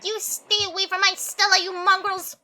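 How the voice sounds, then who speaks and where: A woman's voice: very high voice, little pitch movement, moderate pace, sharp tone, slight background noise, voice pushed up, full character voice. A woman voicing a volatile, protective rural man from a visual novel, with a folksy, emphatic way of talking. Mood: vengeful anger.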